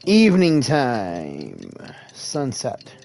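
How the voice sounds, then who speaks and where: A man's voice: medium pitch, drawn-out words, vocal fry, music in the background. A man streaming a game live, chatting casually, reading dialogue aloud and reacting with enthusiasm. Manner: animated, excitable, reads dialogue aloud. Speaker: gaming streamer. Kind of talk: casual live commentary while playing.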